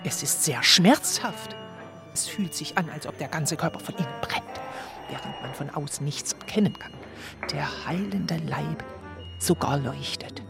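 Earnest, delusional low-voiced housewife. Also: female voice, measured pace, creaky, over music. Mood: pained, withdrawn. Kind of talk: documentary radio play interview.

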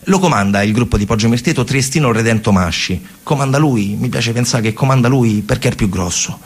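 Gravelly male storyteller. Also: medium pitch. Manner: emphatic, repeats phrases for effect. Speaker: narrative theatre actor. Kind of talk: dramatic oral storytelling monologue.

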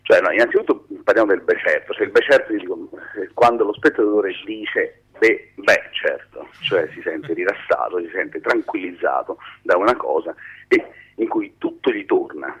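A man's voice: deep voice, moderate pace, a bit bright, breathy edge, highs cut off. A man giving an informal radio phone interview as a writing teacher, rambling and often interrupting himself as he explains. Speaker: screenwriting teacher being interviewed.

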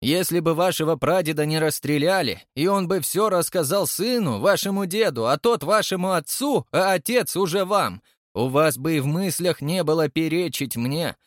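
An imperious old chairman of a secret society in an audiobook drama, acted out by a male narrator. His voice climbs high and swings widely as he scolds and insists, full of indignation. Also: expressive, moderate pace, hardly any pauses, a bit bright, no echo, studio quality. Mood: indignant scolding.

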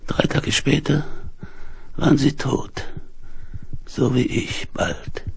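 An actor playing a dying, delirious space explorer in a radio play, halting and weak, with breath sinking into whispers. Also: male voice, medium pitch, animated, creaky, heavily acted. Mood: haunted, despairing. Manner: slow, halting, laboured delivery. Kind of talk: 1970s German radio play acting, dramatic monologue. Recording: hissy, humming 1970s vinyl recording.

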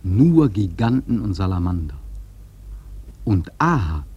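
An actor narrating a sea epic in a radio drama, solemn and rhetorical, with a husky, dark voice. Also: male voice, low-pitched, very animated, slow, highs cut off, heavily acted. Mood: grave, musing. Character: grave, philosophical storyteller of a sea epic.

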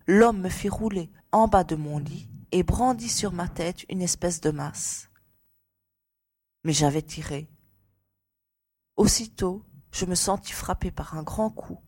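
A woman playing a frightened but composed young lady giving testimony in a dramatised audiobook, with a breathy voice. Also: on the low side, a little mellow. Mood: shaken.

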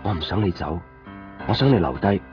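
A man's voice: sits low, expressive, brisk. An actor playing an earnest, introspective young man in a radio drama, speaking in dialogue and inner monologue. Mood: anguished, resolute. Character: earnest, brooding young man, 天朗, working at a shop. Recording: muffled 1990s radio drama under music.

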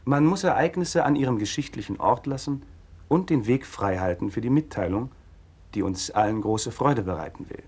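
An actor playing a learned religion teacher in a radio play, explaining things patiently in a measured, didactic manner. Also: male voice, medium pitch, expressive, darkish tone, slightly rough. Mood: explanatory.

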